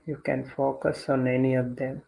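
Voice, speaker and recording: A man leading a guided meditation as a teacher, speaking gently and deliberately with long pauses between instructions. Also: medium pitch, flat delivery, gravelly, low-quality recording.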